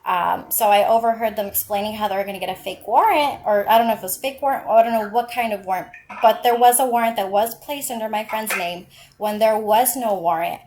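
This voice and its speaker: A woman's voice: mid-range voice, quick pace, a little mellow. A woman calling to file a complaint on a recorded phone call, speaking in an insistent, persistent way. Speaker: caller filing a complaint.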